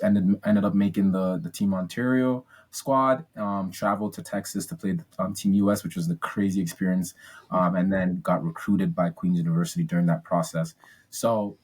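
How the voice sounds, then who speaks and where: A man's voice: low voice, a little mellow. A man in his twenties from Ghana, telling his story as a podcast guest in a chatty, upbeat way with many ums and restarts. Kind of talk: casual interview storytelling.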